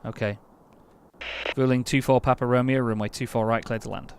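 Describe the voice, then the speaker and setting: A man's voice: mid-range voice, fairly even intonation, a little fast. A man playing an air traffic control game, chatting casually while reading out call signs and getting exasperated when commands fail. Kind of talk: casual gameplay commentary with radio calls.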